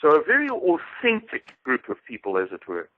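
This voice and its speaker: A man's voice: on the high side, huge pitch swings, moderate pace, no room sound. A man in his forties with a South African accent, a guest talking formally and precisely in a phone interview. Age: 40s. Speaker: guest, activist. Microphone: telephone. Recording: dry, tinny phone line.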